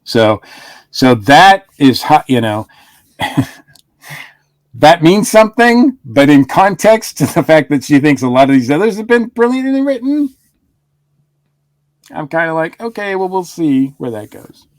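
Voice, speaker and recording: A man's voice: a little high, big pitch swings, a little slow, long pauses, no echo. A man co-hosting a casual podcast review chat, rambling with frequent restarts and chuckles. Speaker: podcast co-host.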